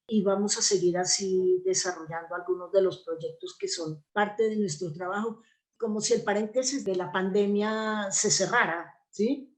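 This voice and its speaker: Casting: Colombian woman, mid-pitched voice, interview guest from the theatre world, talking conversationally. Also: poor audio.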